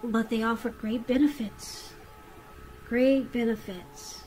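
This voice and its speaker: A woman's voice: on the high side, fairly even intonation, takes it slowly, darkish tone, faint music behind, low-quality recording. A woman giving a conversational tarot reading straight to the listener, repeating phrases for emphasis. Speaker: tarot reader.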